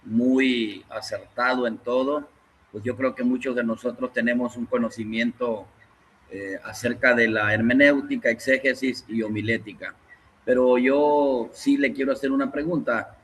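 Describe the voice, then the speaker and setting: A man's voice: medium pitch, steady tone, normal speed, a bit bright. A man politely and formally putting a question to a speaker in an online webinar, with some noise behind him. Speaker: webinar participant asking a question. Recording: online call with clear background noise.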